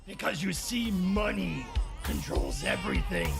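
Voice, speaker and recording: A woman's voice: fairly low pitch, huge pitch swings, measured pace, vocal fry, music in the background, exaggerated voice. A woman playing a deranged, power-mad villain in an audio drama, ranting and shrieking manically. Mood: gloating, manic.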